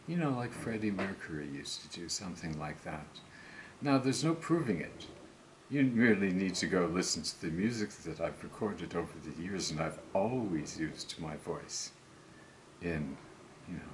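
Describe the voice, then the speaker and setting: An older man talking slowly and self-assuredly to camera about recording cover songs, rambling and musing as he goes. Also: low-pitched, a little hiss or hum, poor audio. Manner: slow, musing, self-assured, breaks into song. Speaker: home singer recording covers. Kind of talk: rambling solo monologue to camera.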